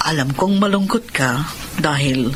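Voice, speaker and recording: An actress playing a calm, caring lawyer and mentor in a Filipino radio drama, speaking in a measured, advising way. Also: female voice, sits low. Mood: grave, sympathetic. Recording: radio drama with clear background noise.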